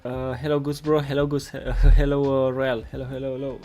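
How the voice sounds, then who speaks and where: A man from Romania hosting a casual live coding tutorial, halting and repeating his words as he goes. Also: mid-range voice, flat delivery, darkish tone. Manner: halting, repeats words while reading chat. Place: indoors at a computer desk. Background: music playing, keyboard typing.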